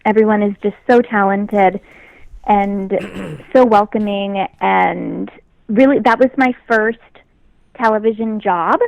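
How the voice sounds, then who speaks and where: A young adult actress with a high voice, chatting easily as an interview guest and laughing often. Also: female voice, takes it slowly, vocal fry, dry recording, rough recording. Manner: easygoing, laughs often, talks about herself in a light way. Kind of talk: casual interview chat.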